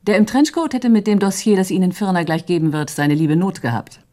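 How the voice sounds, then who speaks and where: An actress playing a composed, forthright executive secretary in a radio drama, with a poised, teasing manner. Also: female voice, on the low side, fairly quick, mellow, close to an everyday voice.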